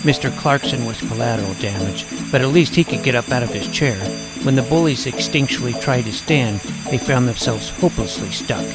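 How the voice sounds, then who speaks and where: A man reading a first-person story aloud in a wry, deadpan manner. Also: mid-range voice, brisk, loud music behind the voice, rough recording. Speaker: audiobook narrator. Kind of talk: first-person scripted narration.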